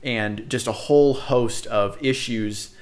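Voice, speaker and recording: A man's voice: mid-range voice, slow pace. A young American man introducing his channel in a chatty, earnest way, with many fillers and asides. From United States. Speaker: channel host. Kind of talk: casual direct-to-camera introduction.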